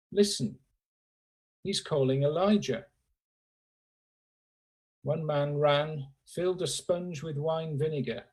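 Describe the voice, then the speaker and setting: Slow, husky man reading scripture. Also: fairly high pitch, darkish tone. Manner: slow, measured phrasing with pauses. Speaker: scripture reader at a church service. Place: remote, on a Zoom call. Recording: remote video call audio.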